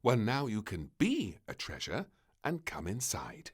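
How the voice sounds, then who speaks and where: A man's voice: fairly low pitch, wildly swooping pitch, measured pace, slightly rough, dry recording, clean studio sound, full character voice. A man voicing a cross, scolding female palace maid in a children's storybook, calling out. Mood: coaxing.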